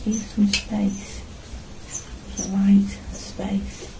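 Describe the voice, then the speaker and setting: A woman's voice: medium pitch, level tone, very slow, vocal fry, background noise. A middle-aged woman chatting casually to herself and the camera as she works at painting in a small room at home. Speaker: artist and vlogger. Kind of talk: casual narration while painting. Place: small home art studio, indoors.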